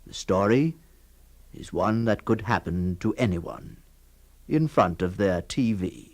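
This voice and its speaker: Male drama announcer: formal scripted introduction, unhurried pace, lower register. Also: animated.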